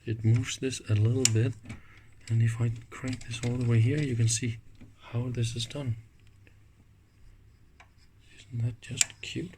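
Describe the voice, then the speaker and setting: Slow-paced man thinking aloud. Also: mid-range voice, little pitch movement. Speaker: host of an electronics teardown video. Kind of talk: casual hands-on commentary. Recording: clicks and faint background noise.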